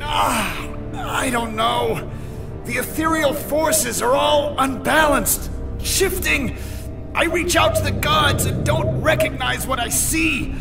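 An anguished raging superhero. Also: male voice, extremely high pitch, takes it slowly, creaky, heavily acted. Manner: anguished shouting. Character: raging young male superhero, tormented. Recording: game cutscene with loud music.

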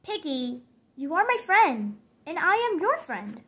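A woman voicing a gentle, polite elephant in a storybook, speaking haltingly and carefully. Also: high voice, big pitch swings, voice pushed down, heavily acted. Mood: hesitant, tactful. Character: hesitant, polite elephant, gentle honest friend.

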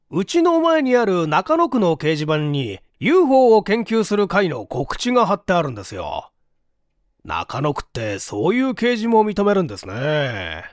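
A man narrating as a talkative neighborhood barber in an audiobook, telling amusing small talk. The voice is highish and swings widely in pitch, conversational, in a dry recording. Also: dry recording, heavily acted. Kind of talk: audiobook character dialogue.

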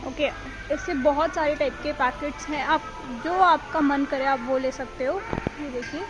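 A woman's voice: high-pitched, normal speed, low-quality recording. A woman in her thirties walking a supermarket with a phone camera, casually reading out prices as she shops. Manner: chatty, walks through aisles reading out prices. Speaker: vlogger. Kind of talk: casual shopping vlog. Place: supermarket aisles, indoors.

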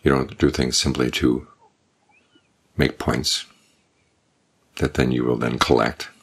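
An older man, a Buddhist monk, giving a calm, measured evening Dhamma talk with unhurried pauses. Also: rumbling low, breathy edge. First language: English.

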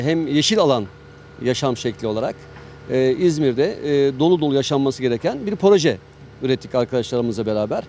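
A man speaking as a company chairman in an interview, in a measured, halting way with frequent pauses between phrases. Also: a little high, dark tone, slight background noise. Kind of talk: on-site promotional interview.

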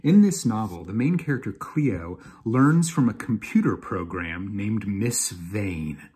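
Guy author reading his book intro into a phone, bit low and husky. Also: lively intonation, a little slow, a little mellow. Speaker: children's author. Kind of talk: scripted introduction to camera. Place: indoor room at home.

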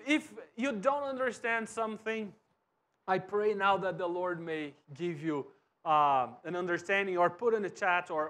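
A Brazilian pastor in his thirties preaches in English with a strong Brazilian Portuguese accent. His voice sits very high for a man. He moves about a church stage, sometimes quiet and sometimes loud. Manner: animated, wide gestures, swings from soft to loud. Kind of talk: sermon. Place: church stage with cross backdrop and bookshelves.

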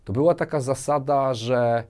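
Man, forties, medium pitch, conversational, interview guest, frequent tag questions, personal anecdotes. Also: moderate pace, a little mellow. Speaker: interview guest, business manager. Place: small furnished studio, indoors. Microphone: lapel mic.

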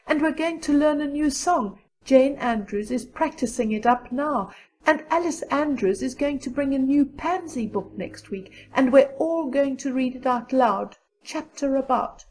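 A woman's voice: high voice, expressive, a little slow, a little mellow, voice pushed up, full character voice, rough recording. A woman voicing a young schoolgirl, a plaintive and coaxing best friend, in an audiobook. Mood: eager coaxing. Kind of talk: audiobook character dialogue.

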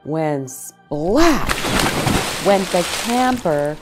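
A middle-aged woman reading a picture book aloud to young children, expressively, with dramatic phrasing and pauses. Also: on the low side, lively intonation, loud music behind the voice.

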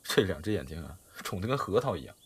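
A man playing a caring, jealous young man in an audio drama, speaking earnestly with gentle insistence. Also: low-pitched, sing-song, fast talker, slight background noise. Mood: teasing, relaxed. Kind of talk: audio drama acting in Mandarin.

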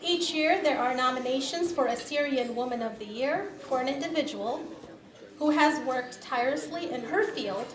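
A woman's voice: high-pitched, normal speed. A middle-aged woman giving an award speech at a podium microphone, reading from notes and switching between languages. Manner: reads from notes, switches between English and Assyrian. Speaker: award presenter. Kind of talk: ceremony speech. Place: large banquet hall. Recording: echoey banquet hall with crowd.

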